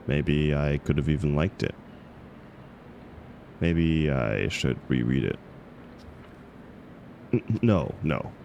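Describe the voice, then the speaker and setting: A man acting as a bashful book club guy with a deep, gravelly voice. He talks hesitantly, stumbling over himself and putting himself down in an intimate romantic audio roleplay. His slow delivery swings expressively up and down. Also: lively intonation, a little mellow, lo-fi sound, a little hiss or hum, exaggerated voice. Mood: sheepish, reflective. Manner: hesitant, stammering, self-deprecating. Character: awkward, flustered book club member, bashful critic. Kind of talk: scripted romantic audio roleplay, intimate one-sided dialogue.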